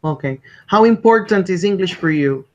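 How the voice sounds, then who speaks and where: Polite high-voiced examiner. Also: male voice, expressive, a little mellow. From Colombia. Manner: polite, measured, repeats instructions. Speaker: English exam interviewer. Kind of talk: structured spoken exam interview. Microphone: video call. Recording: dull lo-fi call audio.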